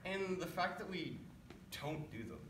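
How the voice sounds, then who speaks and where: A man's voice: on the high side, big pitch swings, a little slow. A young man giving a dramatic scripted recitation as a readers theatre performer. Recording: echoey room, distant mic, faint noise.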